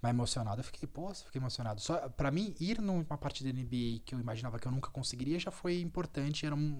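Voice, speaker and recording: A man tells a story at an easy pace, trailing off into filler words. His voice has a warm, mellow tone. He speaks casually as a podcast co-host. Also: medium pitch.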